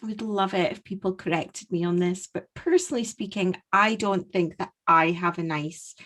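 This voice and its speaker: A woman in her forties from Scotland with a softened Aberdeenshire accent, co-hosting a casual podcast chat from home into a studio mic and laughing often. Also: lowish voice, moderate pace. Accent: Aberdeenshire Scottish, softened. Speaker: podcast co-host. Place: home room. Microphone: studio mic on boom arm.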